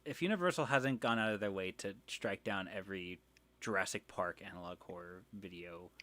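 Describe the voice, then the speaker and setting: A man co-hosting a casual stream, giving opinions in a halting, blunt way with dry humour. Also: low-pitched, pushed and bright.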